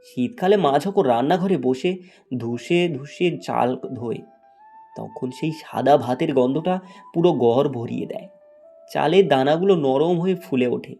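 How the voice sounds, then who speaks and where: A man narrates a scripted folk tale as storyteller. His voice is soft and mellow at an average pitch, with faint music playing underneath. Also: moderate pace, gravelly.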